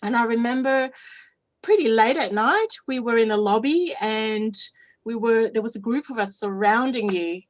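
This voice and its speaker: Woman interviewer, fairly high voice, soft and encouraging. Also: moderate pace. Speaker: interview host. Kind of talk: interview questions.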